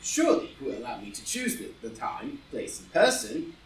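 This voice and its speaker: A woman's voice: mid-range voice, huge pitch swings, moderate pace, creaky, slight background noise. A woman playing a witty, flippant young male aristocrat in an audio drama reading, with an arch, theatrical manner. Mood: arch, playful. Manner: arch, languid wit, theatrical. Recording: roomy, distant live reading with music.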